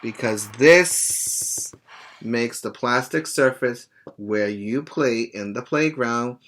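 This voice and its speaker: A middle-aged man reads aloud to young children as their teacher. His voice is bright and forceful, and his pace is slow. He is deliberate and instructive, speaking as if to make each point clear to a small child. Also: medium pitch, slight background noise. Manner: deliberate, didactic, stopping to explain and check understanding. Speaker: preschool teacher. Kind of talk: read-aloud to young children with plain explanations. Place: small indoor room at home.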